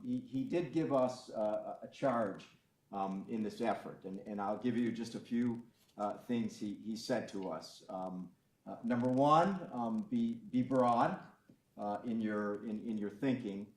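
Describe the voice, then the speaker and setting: Halting man in an echoey room. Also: lowish voice. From Cleveland area, Ohio. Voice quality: loud voice. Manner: halting, many ums and restarts. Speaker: county official speaking at a public forum. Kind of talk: unscripted public talk.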